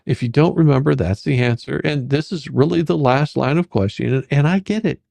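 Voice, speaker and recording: Gravelly, persistent attorney, acted out by a reader. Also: mid-range voice, fairly quick, darkish tone, no echo, natural voice. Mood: matter-of-fact. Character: sarcastic, persistent attorney questioning a witness at deposition. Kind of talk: dramatic deposition reading.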